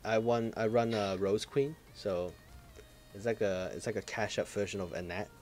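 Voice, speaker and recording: A man co-hosting a casual gaming podcast, relaxed and offhand, swearing casually and trailing off while he hunts for words. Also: lowish voice, normal speed, faint music behind. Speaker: podcast co-host.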